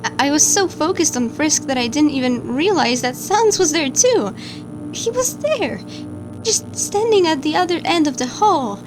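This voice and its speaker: A woman's voice: high-pitched, sing-song, normal speed, low-quality recording. A woman voicing a stammering, anxious watcher. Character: anxious, guilt-ridden woman watching camera feeds. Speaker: narrator of a horror log. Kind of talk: confessional horror log narration. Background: music.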